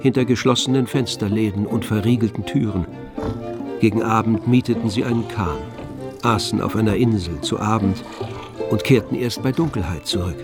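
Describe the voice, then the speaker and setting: A man narrating a literary radio drama in a measured, composed manner. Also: fairly low pitch, music in the background.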